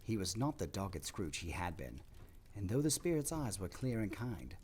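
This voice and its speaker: A man narrates a story theatrically in a low voice. His intonation is very lively and expressive, with faint ambient noise behind him. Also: moderate pace. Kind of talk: scripted dramatic storytelling narration.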